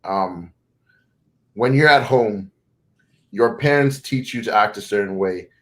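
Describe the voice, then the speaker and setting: A man's voice: fairly low pitch, unhurried. A young man with a North American accent, born in Zimbabwe and raised in North America, answering interview questions thoughtfully, often restarting and correcting himself. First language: not English. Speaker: guest. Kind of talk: conversational interview.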